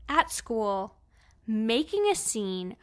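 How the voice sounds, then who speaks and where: A woman with a fairly high voice tells a story as a podcast co-host. Her delivery is casual and animated, full of slang and joking asides, like chatting with a friend. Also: extremely slow. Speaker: podcast co-host recapping a novel. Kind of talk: casual chatty story recap with acted-out quotes.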